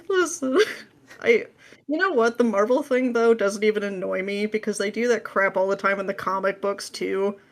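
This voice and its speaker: A woman's voice: medium pitch, normal speed. Sarcastic, playful woman in casual chat. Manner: animated, sarcastic, playful mimicry. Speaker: podcast guest, script writer. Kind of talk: casual pop culture chat.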